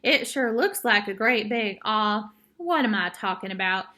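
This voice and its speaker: A woman's voice: mid-range voice, expressive, measured pace, sharp tone. A woman voicing a tiny, wary cowboy man from a children's storybook, with folksy, disbelieving phrasing. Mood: baffled. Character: tiny gruff wary cowboy man, toy brought alive.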